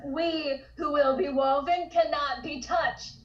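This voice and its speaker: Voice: sits high, fairly even intonation, slow, a bit bright. A performer voicing a menacing, devout cultist in a dramatic comic table read, over a roomy, muffled remote connection.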